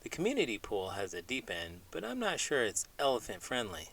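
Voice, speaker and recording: A man's voice: medium pitch, wildly swooping pitch, brisk, a bit bright, dry recording. A middle-aged man hosting children's read-alouds, speaking expressively with dramatic, playful emphasis. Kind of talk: expressive storybook reading and scripted puppet banter.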